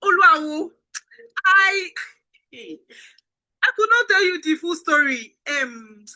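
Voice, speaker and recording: A woman with a Nigerian accent playing a hesitant, anxious visitor to a diviner in an audio drama, speaking haltingly. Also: very high voice, lively intonation, pushed and bright, full character voice, low-quality recording.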